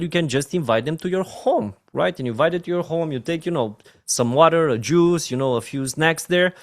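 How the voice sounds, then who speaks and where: A man with a Romanian accent and a fairly high voice gives a training talk. He talks in emphatic bursts and keeps checking in with his listeners. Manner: emphatic, often asks "right?" and "my friend". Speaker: network marketing trainer.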